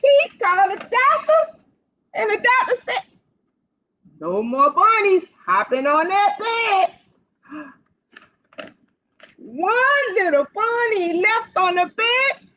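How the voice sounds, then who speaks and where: A woman hosting storytime for young children, reading aloud in an animated, sing-song way and putting questions straight to the kids. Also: very high voice, slow, long pauses. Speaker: library storytime host for children. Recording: dull, lo-fi livestream sound.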